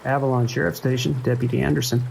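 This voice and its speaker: A man playing a calm, wry sheriff's dispatcher with a dry, reassuring manner in an audio drama. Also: medium pitch, fast talker, gravelly, a little hiss or hum, rough recording. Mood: calm, friendly. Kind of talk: naturalistic audio drama acting.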